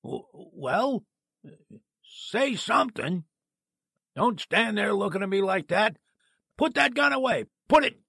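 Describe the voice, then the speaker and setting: An actor playing a sleazy, fussily polite gossip columnist who talks haltingly, in old-time radio drama style. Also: male voice, sits high, lively intonation, gravelly, sharp tone, slightly rough, no room sound, exaggerated voice. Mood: nervous, pleading. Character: sleazy gossip columnist, oily man, nervously pleading.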